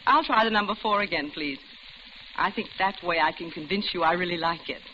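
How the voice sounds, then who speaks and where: A woman's voice: fairly low pitch, fairly quick, pushed and bright. A muffled, noisy vintage radio recording of an older widow. She is genteel and talkative, coaxing with an uneasy edge, in a 1940s drama manner. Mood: coaxing, cheerful. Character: chatty, uneasy older widow, diner customer.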